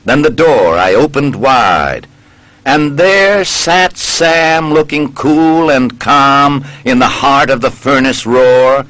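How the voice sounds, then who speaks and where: A man reciting a narrative poem in a deliberate, dramatic storytelling way, drawing out the ends of his lines. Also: mid-range voice, fairly bright tone, no room sound, low-quality recording. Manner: deliberate, storytelling delivery with drawn-out line endings. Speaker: poem reader.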